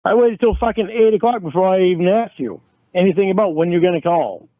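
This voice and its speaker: A man's voice: high voice, animated, fast talker, dry recording. A man arguing angrily over a phone call, swearing and pleading, recorded on a phone line. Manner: angry, pleading, swears a lot. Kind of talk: heated phone argument. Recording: tinny phone line, dry.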